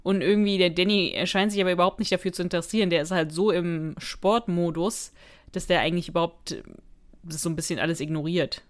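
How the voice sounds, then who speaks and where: A woman's voice: fairly low pitch, fairly even intonation, fast talker. A woman co-hosting a podcast, commenting casually and conversationally on a story. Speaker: podcast co-host. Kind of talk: casual chat, commenting on an audio drama.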